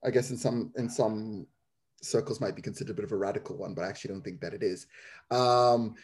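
Academic guy on a panel answering questions, warm mellow voice, measured. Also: fairly low pitch.

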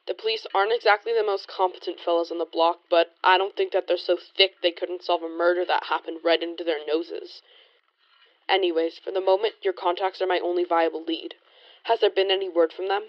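A woman's voice: on the low side, steady tone, fairly quick, dry recording, muffled recording, lightly acted. A woman playing a determined, suspicious 1920s private investigator, pointed and articulate, in a period audio drama. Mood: wary.

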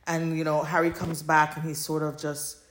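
A woman with a low-pitched voice talks casually, like a podcast co-host retelling a story to a friend. Her delivery is informal and conversational. The recording is roomy, with noticeable echo around her voice. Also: flat delivery, moderate pace. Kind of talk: casual chat, recapping fan fiction.

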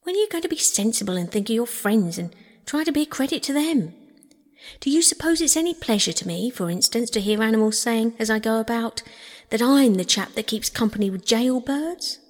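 An emphatic, husky river rat from a storybook, played by a woman. He is earnest and excitable, with old-fashioned speech that leaps about in pitch, and long reverb trails the voice. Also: normal speed. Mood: scolding. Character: earnest, scolding, excitable male river rat, loyal friend.

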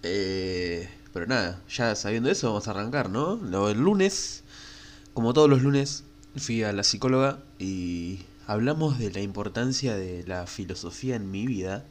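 Congested young Argentine man, lowish voice. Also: expressive, moderate pace, slight background noise. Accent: Rioplatense Spanish. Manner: rambling, crude and frank, many fillers. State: stuffy nose from a cold, coughing. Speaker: podcast host. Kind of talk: casual solo podcast monologue.

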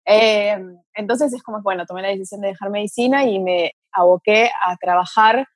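A woman. She rambles through her answers with fillers in a middle-pitched voice. The sound is dry and close, with no room echo. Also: a little fast. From Brazil. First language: Portuguese. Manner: chatty, thinks aloud with fillers. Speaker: guest, mentor to mothers. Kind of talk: casual interview chat.

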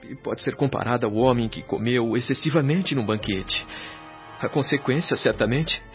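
An actor playing a grave spirit mentor in a Brazilian radio drama, speaking in a solemn, deliberate, preaching way. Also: male voice, mid-range voice, close to an everyday voice. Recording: dull lo-fi, music underneath.

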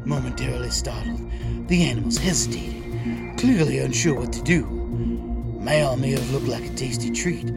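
An actor playing a desperate, protective father in a post-apocalyptic audio drama, narrating tensely and deliberately in the first person. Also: mid-range voice, creaky, loud music behind the voice, close to an everyday voice. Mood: defiant, tense.

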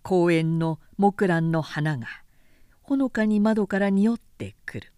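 A woman reading a historical novel aloud as a narrator, in a measured, deliberate storytelling manner. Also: lowish voice, mellow, clean tone, no room sound, studio quality. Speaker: narrator, literary reader.

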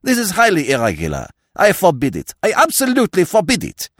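A man plays a self-important French magistrate in an audiobook, sneering and quick to anger. His theatrical, declamatory speech swings widely in pitch, heard in a dry recording. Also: wildly swooping pitch, no echo, exaggerated voice. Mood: indignant. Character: pompous, angry, sneering male French examining magistrate. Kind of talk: audiobook character acting.

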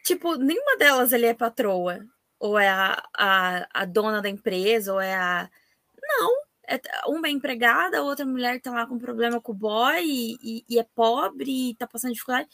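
A woman with a Brazilian Portuguese accent hosting a casual podcast interview, talking in an animated, emphatic way and often exclaiming. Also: on the high side, moderate pace, dry recording. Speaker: podcast host.

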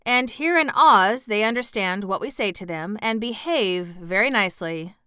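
A woman voicing a grave, gentlemanly wizard in a storybook, polite and formal. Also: mid-range voice, animated, normal speed, smooth, no echo, clean studio sound. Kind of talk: storybook character voice.

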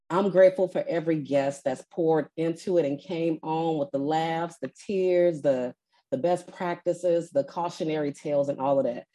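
A woman's voice: low voice, mellow. A woman hosting a podcast, feeling a bit sick, chatting in a playful, slangy way. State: sick, congested. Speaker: podcast host. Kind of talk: casual podcast chat.

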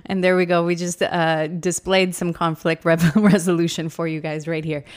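Easygoing lady co-hosting a podcast, kinda low pitched, chatty. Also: level tone, normal speed. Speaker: podcast co-host. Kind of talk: casual podcast chat.

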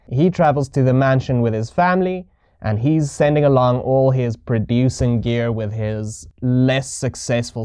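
A man co-hosts a podcast with casual, bantering talk. His voice is smooth and clear at a middling pitch. The sound is close and dry, with very little room in it. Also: a little mellow, no echo. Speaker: podcast co-host.

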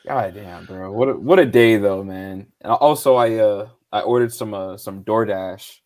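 Laid-back, slightly low-voiced guy losing his voice. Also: moderate pace, a little mellow. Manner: laid-back, loose, swears a lot, says "bro" and "man". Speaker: podcast co-host. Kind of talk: casual live-stream chat.

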